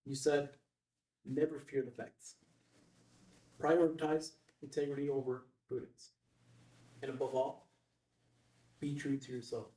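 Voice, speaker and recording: A man's voice: medium pitch, level tone, a little slow, long pauses, dark tone, close to an everyday voice. A man playing a nervous, deferential young reporter in a film table read, hesitant and awkward. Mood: nervous, admiring. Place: room at a filmed table read. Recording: roomy, distant microphone.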